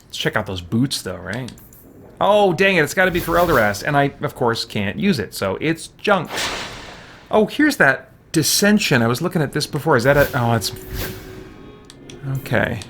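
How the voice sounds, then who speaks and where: A man's voice: mid-range voice, sing-song, moderate pace, gravelly. A man giving casual video game commentary, muttering to himself as he decides and breaking into playful theatrical roleplay. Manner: muttering deliberation to himself, slipping into theatrical exclamations. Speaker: video game let's player. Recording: loud game music behind the voice. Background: loud video game music.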